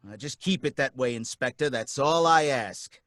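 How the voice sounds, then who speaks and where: An actor playing a nervous, put-upon cab driver who stammers and pleads in folksy slang, in a live old-time radio drama. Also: male voice, mid-range voice, normal speed, a bit bright, no room sound, studio quality, lightly acted. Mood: uneasy.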